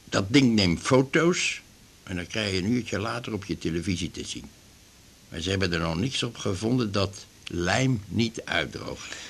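A man's voice: deep voice, vocal fry, full character voice. An actor playing an aggrieved, garrulous retired glue salesman in his fifties in a radio drama, rambling and grumbling. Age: 50s. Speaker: patient in a doctor's office. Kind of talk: naturalistic radio drama acting.

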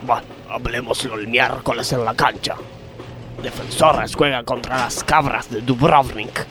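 An actor playing a scheming, mock-solemn factory worker with an Argentine accent in a radio comedy sketch. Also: male voice, mid-range voice, fairly even intonation, measured pace, music in the background, close to an everyday voice. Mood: conspiratorial. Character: scheming, work-shy, football-loving male factory worker.

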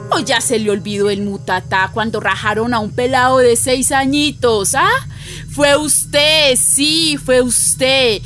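Casting: Colombian countrywoman, high voice, slow dramatic storyteller, earthy and fearful, radio drama over music. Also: fairly bright tone. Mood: indignant, sly. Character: Juana, earthy, frightened village woman recounting violent events. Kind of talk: radio drama narration and dialogue.

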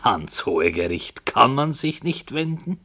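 An actor playing an authoritative writer who narrates a dark tale in a measured, grave manner for a radio play. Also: male voice, medium pitch, big pitch swings, exaggerated voice. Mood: insistent. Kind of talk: 1960 German radio drama narration.

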